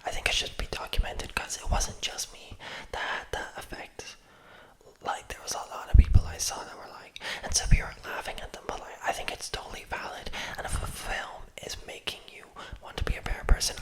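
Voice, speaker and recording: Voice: brisk, low-quality recording. A young adult ASMR creator in a close-mic whisper, chatting loosely with lots of fillers and often losing the thread. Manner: rambling and loose, heavy on "like" and "um" fillers; often loses the thread of the words. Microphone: close-up mic, near enough to pop.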